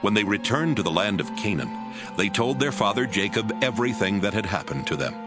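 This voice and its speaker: Low-pitched male narrator over music. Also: expressive, brisk. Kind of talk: scripted dramatic Bible narration.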